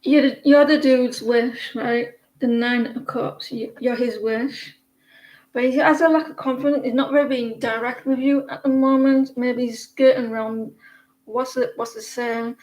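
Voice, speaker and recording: A woman's voice: a little high, fairly even intonation, measured pace, darkish tone, rough recording. A woman in her forties from northeast England, with a northeast English accent, giving a casual, rambling tarot reading full of fillers and restarts. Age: 40s. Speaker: tarot reader.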